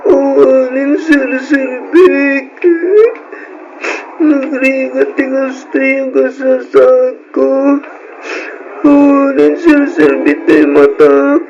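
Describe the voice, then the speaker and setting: Woman playing a high-voiced, weak sick little boy. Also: darkish tone, full character voice. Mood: despairing. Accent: Ilocano Filipino. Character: weak, sad, gravely ill young boy. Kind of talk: old Ilocano radio drama acting. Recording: dull lo-fi radio, faint music behind.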